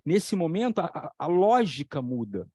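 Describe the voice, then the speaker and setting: A man talking as a psychoanalytic lecturer, telling stories with lively examples and repeating key phrases for emphasis. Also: fairly high pitch, moderate pace, slightly rough, no room sound, studio quality. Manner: animated storytelling, acting out voices in the examples. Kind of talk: explanatory talk on a video channel.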